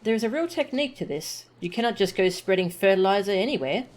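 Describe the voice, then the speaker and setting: A woman's voice: medium pitch, lively intonation, quick pace, darkish tone, close to an everyday voice. A woman voicing a rice farmer who carefully explains how to tend the plants. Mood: instructive.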